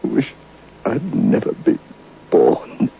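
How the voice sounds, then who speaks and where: Actor as a slow, despairing doomed nobleman. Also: natural voice. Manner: anguished, halting, pleading. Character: despairing male nobleman who sold his soul. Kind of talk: old-time radio drama acting. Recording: muffled, hissy old radio broadcast.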